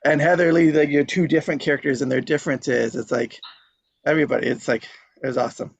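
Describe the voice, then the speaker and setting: A man's voice: a little high, fairly even intonation, fast talker, gravelly, muffled recording, a little hiss or hum. A man chatting casually in a group, rambling and informal in the way he talks. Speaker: table read participant.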